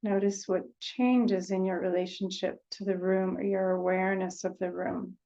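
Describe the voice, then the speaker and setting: A woman leading a guided meditation in a gentle, unhurried way, leaving long pauses between short phrases. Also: medium pitch, little pitch movement, dull audio.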